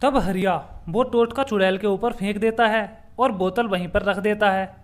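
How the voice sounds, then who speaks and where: A man's voice: high voice, normal speed. A man narrating a scripted children's story as the storyteller.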